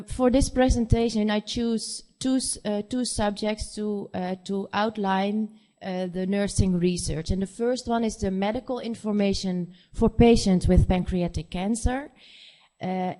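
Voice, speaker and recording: A woman with a Dutch accent, a nurse practitioner giving a conference presentation in English, her second language, speaking haltingly with many fillers. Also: medium pitch, steady tone, dark tone. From Netherlands. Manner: hesitant, halting speech with frequent fillers.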